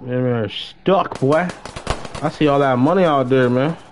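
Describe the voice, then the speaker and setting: A man's voice: medium pitch, a little slow, a little hiss or hum. A young American man livestreaming a game, chatting casually in slang and repeating short phrases. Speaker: live streamer playing a role-play game. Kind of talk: casual gaming chat.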